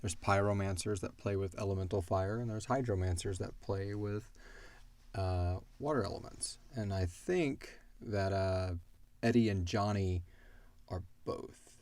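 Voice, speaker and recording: A man chatting casually as a podcast host, retelling story scenes in an animated way. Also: deep voice, a little slow, dry recording. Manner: animated retelling, acting out characters' lines. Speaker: podcast host and audio drama creator. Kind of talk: casual chat answering listener questions.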